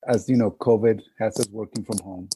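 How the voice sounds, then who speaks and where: A man's voice: on the low side, steady tone, brisk, background noise. A man telling personal stories casually as a podcast guest, in short, punchy sentences.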